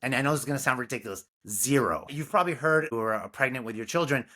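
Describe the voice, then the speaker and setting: A man's voice: mid-range voice, fast talker, bright, forceful tone. A man from Brooklyn, a music curriculum founder talking earnestly and animatedly as a podcast guest. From Brooklyn, New York. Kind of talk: casual interview talk.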